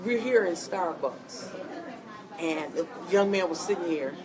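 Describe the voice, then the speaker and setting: Animated woman hosting in a busy cafe. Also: fairly low pitch, a little slow. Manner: animated, preachy, encouraging. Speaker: host. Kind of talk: interview chat. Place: coffee shop. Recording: distant mic in busy cafe. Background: cafe crowd chatter.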